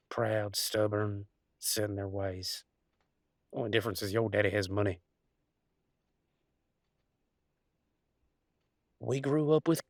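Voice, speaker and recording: A man's voice: lowish voice, dry recording. An easygoing werewolf boyfriend, acted by a man in an intimate audio roleplay. He rambles in a folksy, affectionate, teasing way. The sound is close and dry. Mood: wry, fond. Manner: folksy, rambling, playful drawl with colloquial turns. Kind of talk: intimate one-sided roleplay audio, conversational acting.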